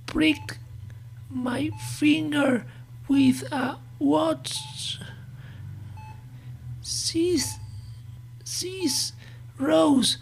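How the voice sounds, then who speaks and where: A woman's voice: high voice, creaky, voice pushed up, full character voice, low-quality recording. A woman voicing a terrified, pleading middle-aged woman trapped in an old body in a horror story. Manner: pleading, panicked. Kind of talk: horror story character acting.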